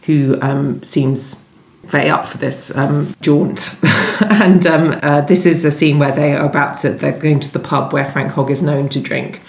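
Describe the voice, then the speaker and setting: A woman's voice: sits low, fairly even intonation, measured pace. A woman, a novelist being interviewed, answering conversationally and thinking aloud as she rephrases. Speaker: novelist being interviewed about her book. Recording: roomy, echoing indoor sound.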